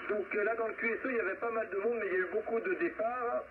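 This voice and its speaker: A man's voice: high voice, fairly even intonation, rapid-fire. A man running a casual amateur radio round-table, passing the microphone along with call signs. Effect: radio transmission. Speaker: amateur radio net operator. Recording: tinny radio link, faint noise.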